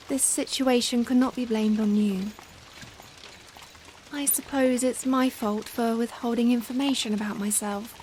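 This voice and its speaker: A woman playing a young, genteel Victorian vampire in a soft-spoken ASMR roleplay, with formal old-fashioned phrasing and hesitant pauses. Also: a little high, natural voice. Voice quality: soft-spoken, breathy in hushed moments. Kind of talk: ASMR roleplay, softly spoken dramatic monologue. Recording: faint rain-like background noise. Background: rain ambience.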